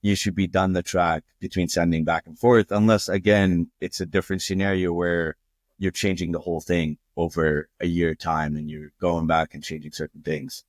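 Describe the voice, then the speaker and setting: A man's voice: low-pitched, level tone, normal speed, dry recording, studio quality. A young man from Jordan, a music producer guest, earnestly walking through his process in a casual interview.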